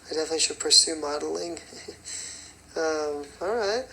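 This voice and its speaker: A raspy young man, a singer and choreographer, chatting casually on a phone livestream and rambling with many fillers. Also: a little high, moderate pace, bright, forceful tone, slight background noise, low-quality recording. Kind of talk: casual livestream chat answering comments.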